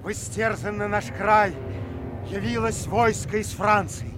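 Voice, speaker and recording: A man's voice: high-pitched, steady tone, unhurried, music in the background. An actor playing an earnest, loyal nobleman in a theatrical audio drama, speaking urgently with formal stage diction. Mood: confiding, earnest.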